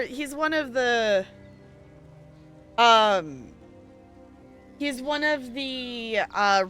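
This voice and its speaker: Bright, animated woman streaming a game. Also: fairly high pitch. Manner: chatty, playful, thinking out loud. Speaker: game livestreamer. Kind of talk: casual stream chat while playing, reading game dialogue aloud.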